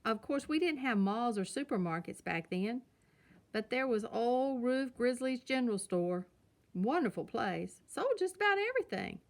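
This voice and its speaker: An elderly grandfather bear from a children's storybook, played by a woman reading aloud. He is folksy and teasing, reminiscing emphatically in a highish voice. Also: very animated, normal speed.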